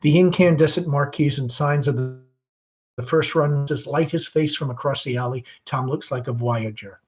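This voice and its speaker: A man, a school principal, reading a play's stage directions aloud in a halting, self-correcting way. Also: medium pitch, darkish tone.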